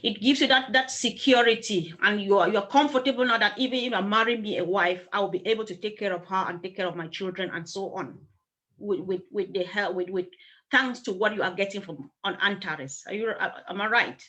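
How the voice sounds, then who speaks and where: A woman's voice: medium pitch, fairly quick, bright, forceful tone. Stammering woman interviewer. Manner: stammering, repeats words. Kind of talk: casual interview.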